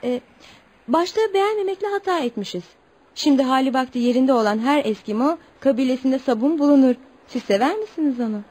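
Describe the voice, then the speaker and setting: A woman's voice: high-pitched, lively intonation, a little fast, a little mellow. An actress playing an earnest, naive and proud young woman telling her story politely in a radio play. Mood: polite, chatty. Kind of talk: radio theatre acting.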